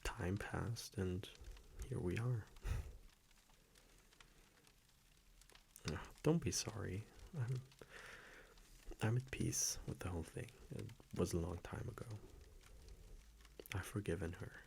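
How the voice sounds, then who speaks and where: A gentle vampire boyfriend played by a man, very low and gravelly, murmuring intimate pillow talk in an ASMR roleplay. He is soft-spoken and affectionate, often slipping toward a whisper, with soft fireplace ambience faintly behind him. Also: measured pace, long pauses, slight background noise. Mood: wistful, calm. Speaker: audio roleplay voice actor.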